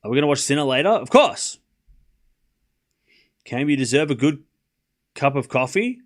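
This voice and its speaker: Male, mild Australian accent, mid-pitched voice, sports watchalong host, conversational, repeats phrases for emphasis. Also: big pitch swings, normal speed. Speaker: livestream watchalong host and commentator. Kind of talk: live tennis commentary mixed with casual chat reading viewer comments.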